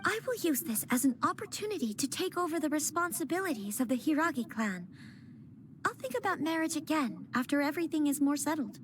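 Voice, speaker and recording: A woman's voice: high-pitched, expressive, a little fast, slight background noise. An actress playing an earnest young noblewoman who speaks formally as she steps up to lead her clan, in video game dialogue.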